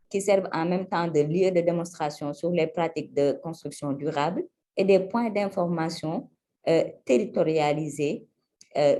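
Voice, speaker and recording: A woman from Senegal giving a webinar presentation over a video call, measured and hesitant, with frequent hesitations. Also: fairly low pitch, dark tone. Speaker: energy agency presenter.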